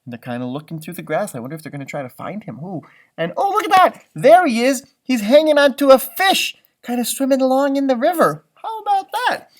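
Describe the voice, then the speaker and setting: A man's voice: very high voice, sing-song, brisk. A man reading a picture book to children, with chatty, wondering asides as he talks to his young listeners. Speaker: storytime reader for children.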